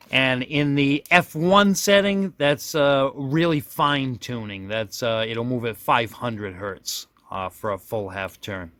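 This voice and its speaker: A man with a low-pitched voice walks through a hands-on demo in an unscripted, folksy way. He rambles a bit and pokes fun at his own slips. The sound is dry and close, with little room to it. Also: fairly bright tone, no room sound. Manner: folksy, self-deprecating, rambling with mid-thought corrections. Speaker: product reviewer demonstrating a radio. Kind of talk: casual hands-on demonstration, unscripted.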